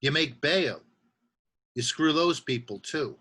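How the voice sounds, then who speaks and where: Guy acting a streetwise drunk telling his downfall, raspy sharp voice, sardonic stage monologue. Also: fairly high pitch, animated, slow pace, heavily acted. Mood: wry, bitter. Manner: punchy, sardonic storytelling. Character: streetwise, self-deluding hard-drinking man confessing his downfall. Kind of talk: theatrical monologue.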